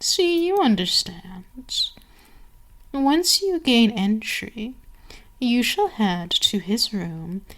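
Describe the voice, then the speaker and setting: Vampire queen played by a woman: seductive and domineering ASMR mistress, higher-pitched, extremely unhurried delivery with savoring pauses. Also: animated, heavily acted. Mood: conspiratorial, measured. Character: commanding seductive vampire queen addressing her enslaved servant. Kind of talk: ASMR roleplay, scripted monologue.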